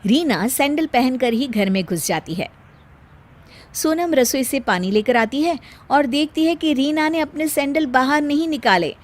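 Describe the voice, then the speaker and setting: A woman's voice: high-pitched, expressive, measured pace. A woman narrating a scripted story in the role of storyteller.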